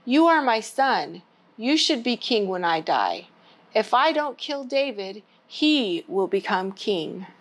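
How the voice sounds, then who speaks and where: A woman's voice: mid-range voice, very animated, takes it slowly, slight background noise. A woman reading the part of a jealous, angry king in a children's storybook, lightly dramatized.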